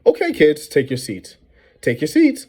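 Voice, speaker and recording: A man voicing a brisk, annoyed female middle school teacher who loudly herds pupils in a storybook reading. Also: fairly high pitch, huge pitch swings, voice pushed up, full character voice. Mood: brisk, insistent. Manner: loud, insistent, commanding.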